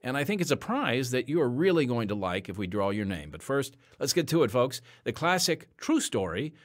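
A man's voice: medium pitch, big pitch swings, fairly quick. A man hosting and narrating an audio drama podcast in a scripted, dramatic but conversational style.

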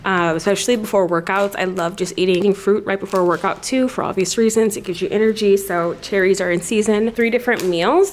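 A young woman vlogging from her bright loft apartment, chatting in a casual, upbeat way about her routine and her groceries. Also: medium pitch, a little hiss or hum. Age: young adult. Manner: chatty and upbeat, drawn-out emphasis, frequent "like" and "um". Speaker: lifestyle vlogger. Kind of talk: casual vlog narration. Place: bright loft apartment with brick walls, indoors.

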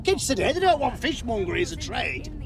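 An actor playing a boastful, touchy middle-aged fishmonger, talking pompously in a small car. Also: male voice, extremely high pitch, sing-song, brisk, crowd noise. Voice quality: pompous, self-important.

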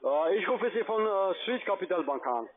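A non-native man making a halting sales call over the phone, searching for words. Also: high-pitched, lively intonation, a crowd in the background. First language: not German. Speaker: telephone salesman. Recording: tinny narrowband phone line.

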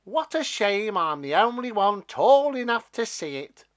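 A man voicing a boastful, mean talking beanstalk in a children's storybook, loud, theatrical and gloating. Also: high voice, sing-song, measured pace, pitched above the performer's own voice. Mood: mocking, spiteful.

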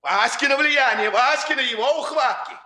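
A man's voice: extremely high pitch, moderate pace, pushed and bright, heavily acted. A man acting in a 1960s radio play as a stern, moralizing boss, hectoring and emphatic as he scolds the young. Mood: stern accusing.

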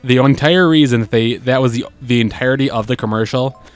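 A chatty man talking over a game session, quick asides and frequent laughs. Faint game music plays underneath his voice. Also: medium pitch. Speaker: let's play host. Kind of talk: casual gameplay chat.

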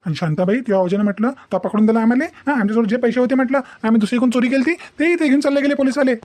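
A man's voice: very high-pitched, very fast, a little mellow, no room sound. A man voicing a scheming, bumbling village kidnapper in a comedy cartoon, bossy and repetitive, with a Varhadi Marathi accent. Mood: aggrieved.

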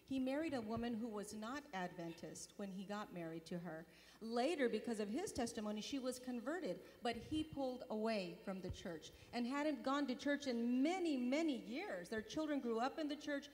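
A middle-aged woman on a convention stage telling a mission testimony into a handheld mic, animated and gesturing. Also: a little high. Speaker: mission volunteer and board member. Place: large convention hall stage. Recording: long hall reverb.

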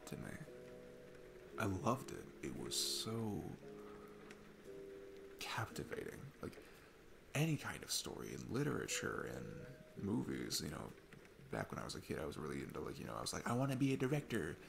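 A man's voice: on the low side, vocal fry, exaggerated voice. A young man playing an easygoing, earnest divorced dad on a date, chatting in a casual, rambling ASMR roleplay style. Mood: earnest, passionate. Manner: rambling, informal, chuckling often. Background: music.

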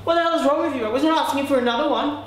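A man's voice: extremely high pitch, racing, a bit bright. A young man acting in an amateur short film, talking in a sarcastic, exasperated way in a school hallway. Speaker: student actor. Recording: echoey hallway with faint hiss.

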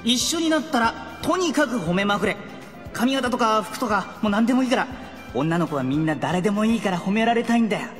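An actor playing a brash, cocky teenage best friend coaching emphatically in a Japanese audio drama, with a reverberant flashback effect. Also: male voice, high-pitched, lively intonation, brisk, a bit bright. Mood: confident coaching. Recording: long reverb with faint music.

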